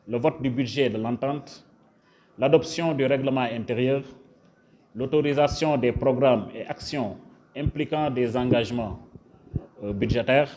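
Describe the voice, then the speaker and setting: Senegalese official, dull lo-fi sound. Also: male voice, medium pitch, a little mellow. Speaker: government official, prefect. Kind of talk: formal official ceremony speech. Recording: dull, lo-fi event recording.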